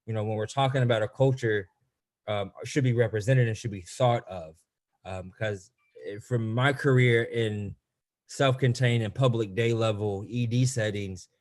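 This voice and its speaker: A man's voice: fairly low pitch, moderate pace, a little mellow. A man who teaches special education presents on a video webinar, talking loosely with frequent "um"s and "you know"s.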